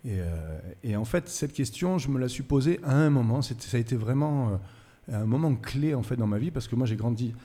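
A man's voice: medium pitch, expressive, fairly quick, dark tone, in a large hall. A man from France, a musician guest on a live radio show at a cultural centre, telling his story thoughtfully and searching for words. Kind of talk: reflective interview storytelling.

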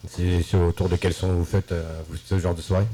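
A man hosting a casual radio interview show, relaxed and chatty. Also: low-pitched, a little fast. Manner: relaxed, chatty, drops English yeses. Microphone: studio mic.

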